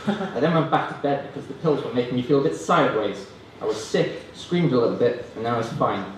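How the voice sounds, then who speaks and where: A man performing stand-up comedy, hesitant and self-deprecating, reading parody prose in a deadpan way. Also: medium pitch, fast talker, recorded from a distance. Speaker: stand-up comedian reading own mock novels. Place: student union comedy stand-up event, indoors. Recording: roomy, noisy live room recording from afar. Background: audience laughter, room noise.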